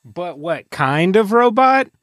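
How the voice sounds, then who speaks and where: A man's voice: sits high, sing-song, slow, fairly bright tone. A man co-hosting a podcast in casual chat, with a wry, teasing way of talking. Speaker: podcast co-host.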